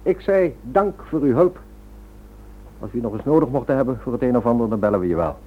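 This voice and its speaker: A man playing a smug, cynical criminal schemer in a radio play, suave and mock-polite with quiet menace. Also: medium pitch, expressive, brisk, husky. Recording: thin narrow-band old radio drama recording.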